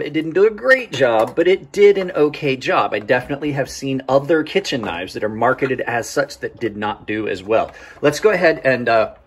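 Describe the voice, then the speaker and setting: A man in his forties with a slightly husky voice gives a casual, rambling product review, often repeating words and backtracking. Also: mid-range voice. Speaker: host of knife review channel.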